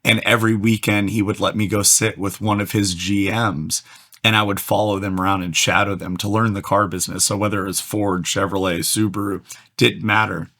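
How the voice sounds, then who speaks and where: A man from Atlanta, slightly hoarse, telling his story as an energetic, fast-talking podcast guest. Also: fairly low pitch. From Atlanta, USA. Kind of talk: casual storytelling interview.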